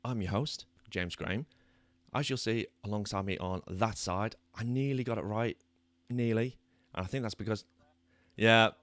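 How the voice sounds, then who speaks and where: British man, podcast host, lowish croaky voice, dry sound, chatty. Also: moderate pace, fairly bright tone, dry recording. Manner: chatty, self-deprecating. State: slightly croaky voice. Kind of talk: casual chat. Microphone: new microphone.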